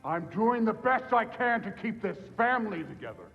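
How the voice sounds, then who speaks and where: An actor playing a huge, weary thunder god and remorseful father who is gruff and self-deprecating. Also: high-pitched, sing-song, normal speed, long reverb tail, lo-fi sound, faint music behind, heavily acted.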